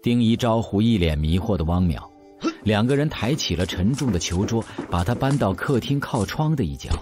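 A man's voice: fairly low pitch, lively intonation, moderate pace, music in the background. A man narrating an audio drama in a scripted storytelling style.

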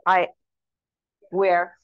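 A woman's voice: on the low side, monotone, very slow, clean tone. A woman hosting a casual cooking demonstration, chatty and instructive as she explains each step. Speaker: cooking show host. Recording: echoey room, dull lo-fi sound.

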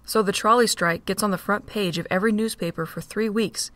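A woman narrating a fan audio drama in a steady reading voice. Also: mid-range voice, a little fast, no echo. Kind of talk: storybook narration.

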